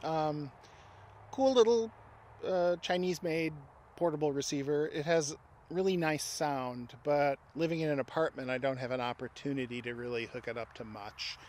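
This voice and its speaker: A man's voice: fairly high pitch, moderate pace, a little mellow, slight background noise. A man talking casually and technically outdoors, as a radio hobby expert helping a friend.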